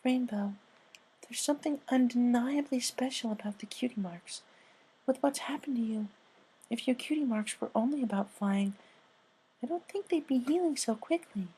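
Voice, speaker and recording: Caring, timid-sounding girl pegasus for a cartoon fan story, pitched well up, performed by a man. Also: normal speed, breathy edge, heavily acted, poor audio. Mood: encouraging. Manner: quiet, kindly, tentative. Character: gentle, caring, soft-hearted female cartoon pegasus pony. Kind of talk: character voice in fan fiction reading.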